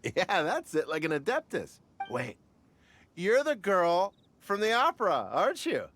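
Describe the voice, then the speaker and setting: A brash, overfamiliar man whose pitch swings wildly. He drawls slowly and pushes his way into the conversation. Faint ambient noise sits behind him. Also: huge pitch swings, exaggerated voice. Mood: pushy. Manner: loud, overfamiliar, chuckling. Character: brash nosy male barfly, pushy. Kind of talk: game voice acting.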